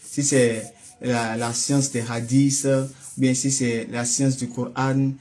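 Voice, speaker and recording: A man's voice: mid-range voice, flat delivery, takes it slowly, a little mellow. West African French-speaking man, casual host. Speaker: host of a religious phone-in. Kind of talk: casual phone conversation.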